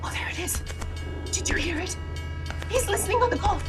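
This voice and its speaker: Frantic bossy invalid lady, suspense audio play, super high voice, pitch jumps around. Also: expressive, a little fast, over loud music. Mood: fearful. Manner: agitated, imperious, breathless pleading. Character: frantic, demanding invalid wife alone at night. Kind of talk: suspense audio drama acting.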